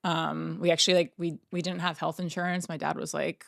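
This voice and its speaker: A woman's voice: low voice, flat delivery, a little fast, creaky, no room sound, clean studio sound. A woman in her thirties from Oregon, telling family stories in a casual interview, rambling with lots of fillers. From Oregon, United States. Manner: rambling, filler-heavy storytelling. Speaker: painter, interview guest.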